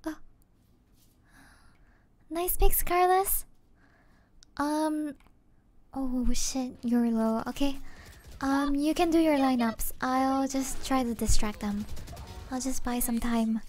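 A woman playing an affectionate, gently flustered young gamer girlfriend in a soft-spoken, hesitant ASMR roleplay, often slipping into breathy murmurs. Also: high voice, slow pace, pauses often. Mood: focused, excited.

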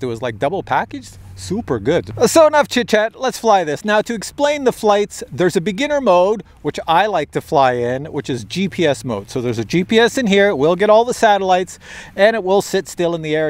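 An older man from Canada chatting upbeat and explaining an RC helicopter outdoors in a park. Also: a little high. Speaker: RC helicopter reviewer. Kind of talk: casual outdoor review vlog. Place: outdoors on a paved path in a park.

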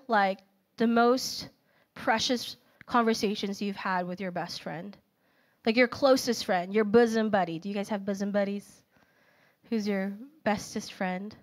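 A woman in her thirties giving a casual, story-filled sermon to a young adult group. She speaks into a handheld mic on a church auditorium stage. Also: on the high side. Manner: animated and personal, filler-heavy storytelling. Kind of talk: conversational sermon. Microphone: handheld microphone.

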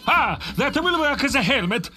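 Pompous, bright-voiced circus brother. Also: male voice, very high-pitched, big pitch swings, normal speed, soft background music, exaggerated voice. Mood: slick salesmanship. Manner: showy, pompous, bickering. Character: squabbling, slick male circus acrobat, pompous showman brother. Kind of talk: theatrical cartoonish game acting.

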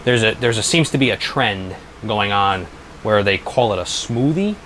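A man in his thirties giving a casual workshop explanation as a business owner, haltingly and repeating his phrases. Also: lowish voice, expressive, fairly bright tone, slight background noise, poor audio. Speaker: helmet restoration business owner. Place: small workshop, indoors.

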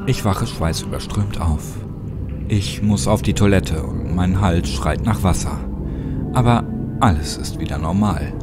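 A man reads a scary story in a fairly deep voice. His delivery is dramatic, measured and full of suspense, in the manner of a horror audiobook. Loud music plays throughout behind him. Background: loud music under the voice.

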